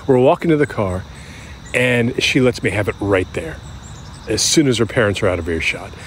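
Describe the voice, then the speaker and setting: An actor playing a middle-aged American man who recalls his youth candidly, in a halting, conversational, self-deprecating way, as if interviewed for an audio drama. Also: lowish voice, lively intonation, a little hiss or hum, lightly acted. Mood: candid, rueful. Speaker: interview subject. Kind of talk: naturalistic audio drama acting as interview reminiscence.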